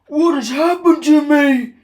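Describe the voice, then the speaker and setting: A woman voicing a proud, rude big male express engine in a children's storybook, boastful and quick to complain. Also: high-pitched, mellow, voice pushed up. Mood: dismayed.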